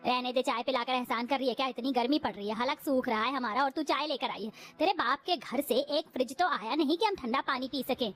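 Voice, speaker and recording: A woman's voice: high-pitched, fairly quick, hardly any pauses, a bit bright, soft background music. A woman voicing a cruel, domineering mother-in-law in a cartoon who scolds and taunts. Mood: scolding.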